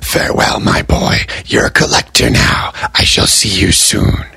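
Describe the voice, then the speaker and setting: A man playing an eager, scheming male tally keeper who talks in a breathy, half-whispered way. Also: measured pace, sharp tone, no room sound, studio quality. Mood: pleased. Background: music.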